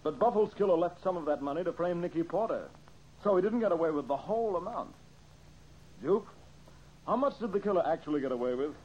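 An actor playing a brisk young amateur detective and host in an old-time radio mystery, methodical and politely exasperated. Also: male voice, high-pitched, expressive, darkish tone, breathy edge. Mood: probing. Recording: dull, hissy old radio recording.

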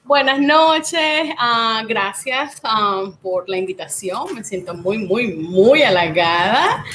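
A woman's voice: fairly high pitch, animated, slow, background noise. An extroverted, chatty woman with a Venezuelan accent, talking casually as an interview guest from home. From Puerto Cabello, Venezuela. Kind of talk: casual chat. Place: at home, remote connection.

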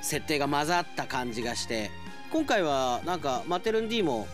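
A man hosts a radio show in a conversational, playful style. His voice sits fairly high and swoops with very animated intonation. Quiet music runs underneath in the studio. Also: moderate pace. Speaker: radio host and announcer. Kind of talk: chatty radio presenting. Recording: studio voice over faint music.

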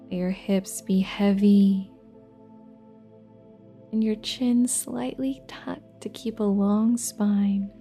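A soothing female meditation guide speaks in a soft, breathy, mellow voice. Her delivery is very slow and level, with long restful pauses. Soft new-age music with singing bowls plays quietly behind her. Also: mid-range voice, steady tone.

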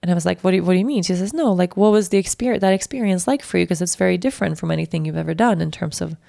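A woman in her thirties from Sweden with a Swedish accent, hosting and talking candidly and reflectively, halting and restarting as she thinks. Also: on the low side, creaky, no room sound, clean studio sound. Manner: candid, halting, self-reflective with frequent restarts and soft laughs. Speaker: podcast host. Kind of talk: personal reflective monologue.

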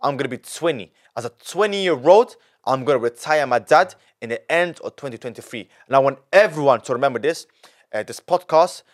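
A nineteen-year-old man, a podcast guest telling his story in an animated way, full of repetition and street slang. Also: mid-range voice, a little fast. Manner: animated, repeats himself, heavy street slang. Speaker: young entrepreneur guest.

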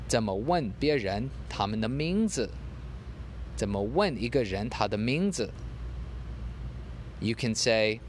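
A man teaching a podcast language lesson, repeating example phrases slowly for learners. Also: mid-range voice, slight background noise.

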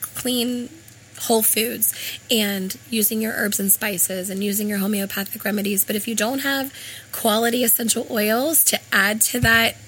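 A woman with a raspy, hoarse voice from laryngitis, explaining her store conversationally in an informal interview as its co-owner. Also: on the high side, steady tone, normal speed, fairly bright tone, a little hiss or hum, low-quality recording. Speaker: health food store co-owner.

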